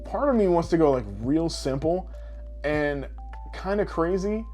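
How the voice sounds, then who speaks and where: A man co-hosting a casual podcast, rambling through his ideas in an animated way and swearing freely. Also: fairly high pitch, measured pace, faint music behind. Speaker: podcast co-host. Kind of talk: casual podcast chat about games and films.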